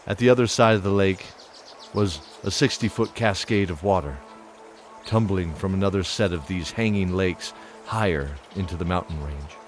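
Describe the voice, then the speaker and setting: A man with a low voice narrates a fantasy tale in a dramatic, measured way. It sounds like scripted audio drama, with clear music behind him.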